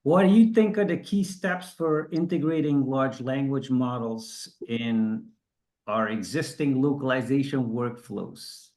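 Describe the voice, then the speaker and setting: A man's voice: mid-range voice, unhurried, darkish tone. A man from Belgium hosting an online industry discussion, chatty and self-deprecating, often restarting his sentences. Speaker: host.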